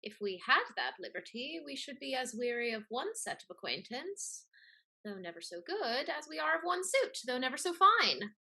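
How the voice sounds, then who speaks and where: A woman's voice: sits high, sing-song, normal speed, gravelly, bright, forceful tone, breathy edge, exaggerated voice. A woman playing a scheming, catty society lady in a Restoration comedy, with an arch, pointed, mannered delivery. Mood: arch, worldly. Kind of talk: theatrical Restoration comedy acting.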